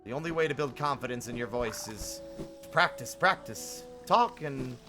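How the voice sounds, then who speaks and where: A man's voice: mid-range voice, lively intonation, moderate pace. A man chatting casually on a game stream, conversational and thinking aloud as he plays. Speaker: live streamer who voices game dialogue. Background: game music.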